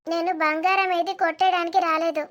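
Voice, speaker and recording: A woman's voice: very high voice, level tone, fairly quick, fairly bright tone, highs cut off. A woman voicing a haughty, well-off middle-aged mother-in-law in a cartoon comedy, snobbish, fussy and easily indignant.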